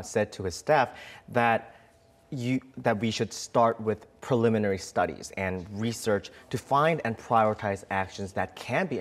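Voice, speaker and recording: Male news reporter, lower voice, measured explainer in live discussion.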